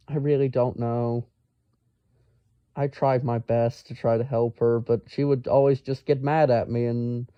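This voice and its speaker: A man telling a casual, rambling story in a pedantic, exasperated, halting manner. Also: mid-range voice, clean tone, dry recording, dull audio. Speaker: storyteller, amateur science enthusiast.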